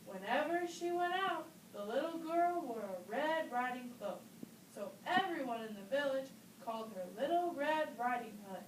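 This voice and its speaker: A woman's voice: high-pitched, lively intonation, normal speed. A woman narrator telling a scripted children's story for a puppet show. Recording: distant roomy recording with faint noise.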